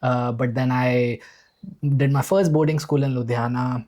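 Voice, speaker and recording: A man's voice: mid-range voice, fairly even intonation, measured pace, darkish tone. A man from India with an Indian accent, telling his life story earnestly and enthusiastically in a casual podcast conversation. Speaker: podcast guest, usual host.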